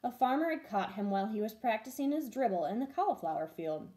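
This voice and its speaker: A woman teacher reading a children's storybook aloud in an expressive storytelling style. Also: fairly high pitch, fast.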